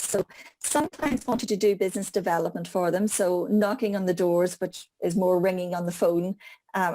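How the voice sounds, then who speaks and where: A woman's voice: mid-range voice, vocal fry, warm tone. A woman from Donegal with an Irish accent, answering questions as a guest on a video call in a chatty, self-mocking way. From Donegal, Ireland. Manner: chatty, explanatory, laughing at herself. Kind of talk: online group Q&A.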